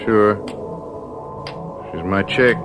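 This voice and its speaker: A man's voice: fairly low pitch, extremely slow, music in the background. An actor playing a brash, troubled young college man in a radio drama, with slangy, stammering, defensive speech. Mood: flat, subdued. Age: young adult.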